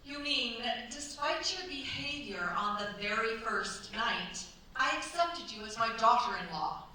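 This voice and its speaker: An actress as a cold, suspicious older mother-in-law in a period stage radio play. She speaks pointedly in a bright, sharp voice. The room is very echoey and she is far from the mic. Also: a little high, animated. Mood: cold, defiant. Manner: deliberate, pointed, insinuating. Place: cultural centre stage, live performance. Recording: very echoey stage, distant mic, faint noise.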